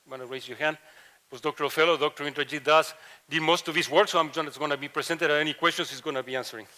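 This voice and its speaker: Middle-aged Latin American-accented male professor, slightly high, bright voice, halting panel talk. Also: steady tone. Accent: Latin American Spanish. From Mexico and Ecuador. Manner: halting, many fillers and restarts. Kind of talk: conference panel presentation.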